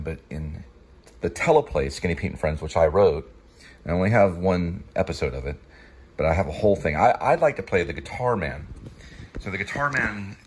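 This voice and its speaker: A man in his fifties talking casually in a rambling, halting way, often digressing and restarting phrases as a show host. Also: low-pitched, slight background noise. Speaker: show host, actor and teleplay writer. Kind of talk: rambling casual vlog talk.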